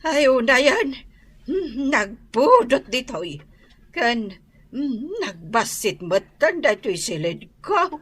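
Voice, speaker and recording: A woman's voice: sits high, very animated, fairly bright tone, slightly rough, heavily acted. A woman playing a frail, confused, querulous elderly mother with an Ilocano Filipino accent, sighing through a radio drama. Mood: confused, complaining. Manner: slow, sighing, interjecting.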